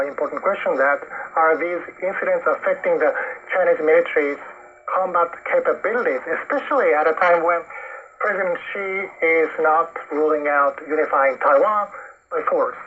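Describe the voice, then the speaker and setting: A male news host asks questions in a fairly high voice, phrasing them haltingly. He is heard over dull shortwave radio with faint noise. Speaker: programme host asking questions. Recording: muffled, faintly noisy shortwave radio broadcast.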